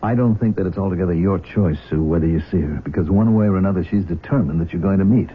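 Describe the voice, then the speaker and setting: Actor as a low-voiced, measured American foster father. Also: lightly acted. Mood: firm, reasonable. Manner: measured, courteous, firm. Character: calm, protective middle-aged American foster father. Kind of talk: 1970s radio drama acting. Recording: thin, phone-like, noisy vintage radio recording.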